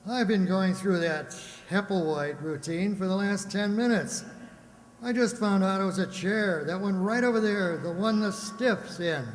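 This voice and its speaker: A man's voice: high voice, unhurried. A man playing a sardonic, exasperated police lieutenant in an old-time radio drama style, in a very reverberant recording. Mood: sarcastic, exasperated. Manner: sardonic, grumbling, officious. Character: exasperated, sarcastic male police homicide lieutenant. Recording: very reverberant room or hall.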